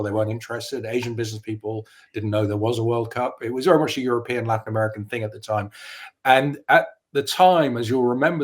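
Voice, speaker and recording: A man in his fifties who grew up in the Netherlands, talking as a journalist guest in an interview. He speaks in an articulate, opinionated way and turns reflective as he goes. His voice sits at an ordinary middle pitch. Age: 50s. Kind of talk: conversational interview.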